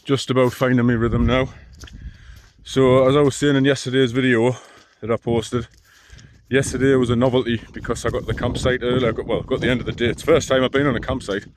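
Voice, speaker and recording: Deep-voiced mumbling man. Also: level tone, brisk, a little hiss or hum. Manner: dry self-mocking asides, rambling with restarts. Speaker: long-distance hiker vlogger. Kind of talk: casual walking vlog. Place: outdoors on a hill trail.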